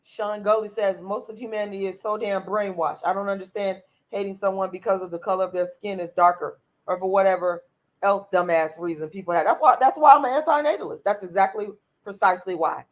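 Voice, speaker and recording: Raspy, blunt woman livestreamer. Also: medium pitch, fairly quick. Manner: blunt and profane, repeats herself; outspoken. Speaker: channel host reading viewer comments. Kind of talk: livestream commentary.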